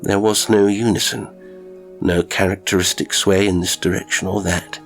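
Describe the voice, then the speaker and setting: A man narrating a first-person strange story from a script, with dramatic, expressive delivery and deliberate pauses. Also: low-pitched, creaky, faint music behind. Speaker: audiobook narrator of strange stories.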